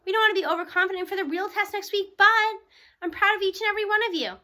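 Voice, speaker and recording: A woman's voice: extremely high pitch, racing, bright, forceful tone. A woman voicing an earnest, encouraging male schoolteacher in a children's storybook. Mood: proud, cautioning.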